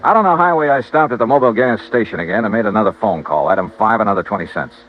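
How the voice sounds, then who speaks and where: An actor playing a brisk, confident, wry insurance investigator in 1950s radio style, with clipped delivery. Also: male voice, fairly low pitch, animated, faint music behind, lightly acted. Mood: brisk narrating. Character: brisk, hard-boiled male freelance insurance investigator. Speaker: lead actor in a radio detective drama. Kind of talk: 1950s radio acting, first-person narration and dialogue. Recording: muffled old radio recording.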